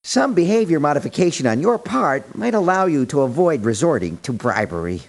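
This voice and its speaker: A man's voice: a little high, very animated, a little fast, creaky, full character voice. An actor playing a prim, principled male mercenary in a video game, speaking in formal, precise, politely reproachful sentences. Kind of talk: video game character voice lines.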